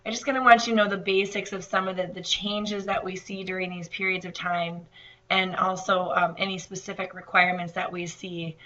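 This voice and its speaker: A woman nutrition instructor giving a recorded online lecture, her voice slightly raspy from allergies, with many fillers and restarts. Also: on the low side, level tone, brisk, pushed and bright, highs cut off. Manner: hesitant, many fillers and repeated words.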